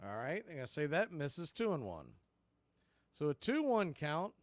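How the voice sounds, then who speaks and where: An American man with a high voice does baseball play-by-play in a dry, close sound. He speaks in short, punchy calls and drops in conversational asides between pitches. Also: very animated, normal speed, no echo. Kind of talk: live radio play-by-play commentary.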